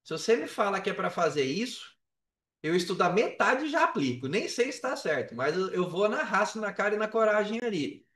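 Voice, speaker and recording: A man's voice: high voice, sing-song, a little fast, fairly bright tone. A man with a Brazilian Portuguese accent telling his own story casually on a podcast, with slang, swearing and emphatic repetition. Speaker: podcast guest, affiliate marketer. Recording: roomy echo, distant from the mic.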